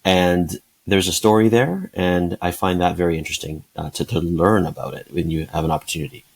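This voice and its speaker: A man in his forties answers interview questions in a reflective, halting way. His voice is very low and a little husky, and he often pauses and restarts as he thinks. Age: 40s. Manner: thoughtful, halting, many fillers and restarts. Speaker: guest, sales leader.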